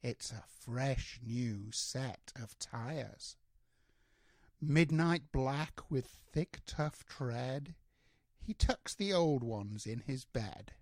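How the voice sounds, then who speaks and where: Older male storybook reader, grandfatherly, mid-pitched, very slow expressive delivery of children's rhymes, dry sound. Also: no echo. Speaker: grandfather reading to his grandsons. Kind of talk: storybook read-aloud in rhyming verse.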